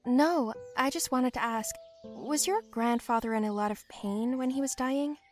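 A woman voicing a gravely ill, yearning teenage girl in a story, emotional and pleading. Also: a little high, normal speed, faint music behind, voice pushed up, lightly acted. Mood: tentative, curious.

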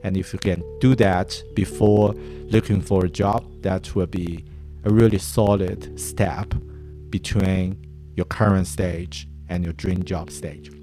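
A man whose first language is Chinese, giving career advice to design learners in a slow, halting way with frequent restarts. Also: deep voice, steady tone, warm tone, faint music behind. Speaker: design mentor. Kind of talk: advice talk to camera.

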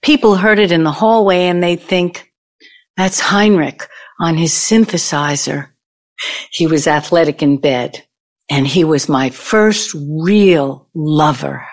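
A woman's voice: deep voice, slow. A woman playing a wounded, vengeful older ex-girlfriend in an audio play, speaking in a dramatic, pointed way. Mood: wistful. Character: bitter, wounded, vengeful ex-girlfriend from mogul's college days.

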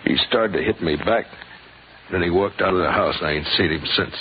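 An actor playing a weary, stubborn middle-aged frontier sheriff with a terse, rustic American way of talking, in a 1950s radio western. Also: male voice, low-pitched, fairly quick, close to an everyday voice. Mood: anguished, resigned. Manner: rustic, terse, heavy-hearted. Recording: muffled, noisy 1950s radio recording.

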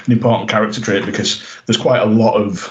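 A man's voice: on the low side, steady tone, quick pace, echoey room, dull audio. A man co-hosting a podcast, recapping an episode in casual chat, rambling with lots of "you know" and "uh". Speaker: podcast co-host.

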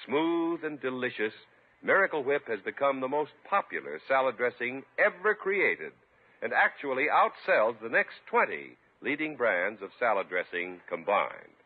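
A man's voice: medium pitch, very animated, normal speed. A male announcer reading a 1950s radio commercial with polished, persuasive salesmanship. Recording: tinny, narrow-band old radio recording.

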